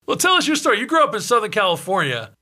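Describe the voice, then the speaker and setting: A male radio host with a bright, forceful voice pitched a bit high. He introduces and questions his guest briskly and cheerfully. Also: wildly swooping pitch. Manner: upbeat, brisk interviewer. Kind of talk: radio interview.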